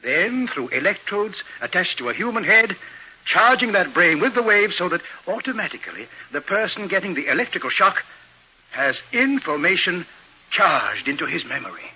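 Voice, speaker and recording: An actor playing an eager, proud older scientist in a theatrical, hesitant 1950s radio drama style. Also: male voice, high voice, very animated, moderate pace, slightly rough, exaggerated voice. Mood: proud, musing. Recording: muffled, noisy 1950s radio recording.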